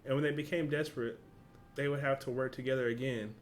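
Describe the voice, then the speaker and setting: A man's voice: medium pitch, fairly even intonation, fast talker, a little hiss or hum. A man with a casual, slangy, informal way of talking, hosting a reaction video and reading along.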